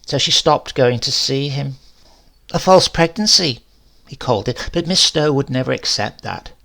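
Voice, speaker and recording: A man's voice: medium pitch, lively intonation, normal speed, creaky, a bit bright. A man voicing a chatty, defensive landlady of nearly seventy in a horror story reading, rambling and confiding in colloquial phrases. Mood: gossipy, insistent. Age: older.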